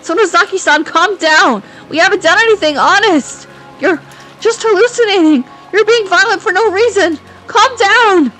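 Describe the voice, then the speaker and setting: A woman voicing frightened clinic staff who plead urgently for calm. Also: extremely high pitch, soft background music, rough recording. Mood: panicked pleading. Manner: pleading, rushed.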